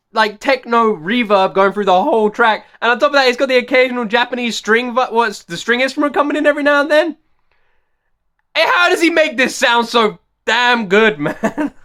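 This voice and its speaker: High, bright-voiced excitable man. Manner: excitable, bursts into loud disbelieving shouts; talks straight to viewers, repeats phrases. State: voice going hoarse. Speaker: music reaction video host. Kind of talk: reaction vlog chat.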